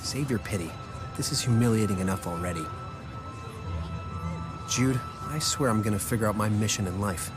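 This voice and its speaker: An actor playing a proud but wounded young devotee in a video game, stiff, earnest and dramatic. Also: male voice, lowish voice, normal speed, pauses often, natural voice. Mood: humbled, determined. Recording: loud music behind the voice. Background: loud background music.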